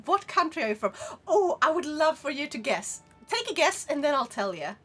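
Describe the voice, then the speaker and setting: A woman with a Swedish accent, streaming and chatting casually with her live chat in a rambling, animated way, laughing often. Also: high-pitched, moderate pace, soft background music. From Sweden.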